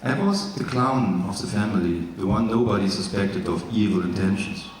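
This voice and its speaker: A German-accented man reads his memoir aloud before a live audience in a large hall. His voice sits fairly low and comes from some distance off the microphone, carried by a long, booming echo. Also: animated, slight background noise. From East Germany. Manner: careful, slightly halting reading with jokey asides. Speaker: author reading his memoir. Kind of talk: live literary reading. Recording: echoey hall from far off.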